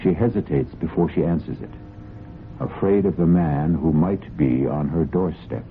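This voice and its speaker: Deep-voiced male radio drama narrator. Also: normal speed. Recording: muffled, noisy old radio broadcast recording.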